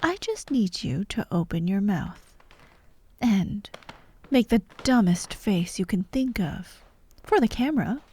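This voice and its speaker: A woman's voice: mid-range voice, sing-song, slow pace, mellow, breathy edge, heavily acted. A woman playing a vengeful fired actress holding hostages in an ASMR audio drama, taunting and theatrical, often dropping to a whisper. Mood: sinister, taunting. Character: vengeful fired actress, sadistic hostage-taker directing victims.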